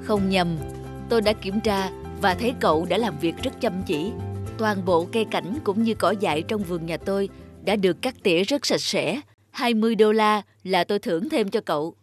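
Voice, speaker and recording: A woman's voice: mid-range voice, normal speed, lightly acted. A woman plays a well-off cartoon lady employer. She is composed and businesslike, speaking over music. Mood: composed approval.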